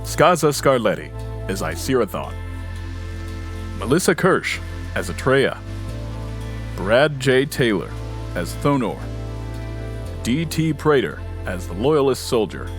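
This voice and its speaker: A man's voice: mid-range voice, very animated, takes it slowly, long pauses. A man reading scripted end credits as an announcer for an audio drama. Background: music bed.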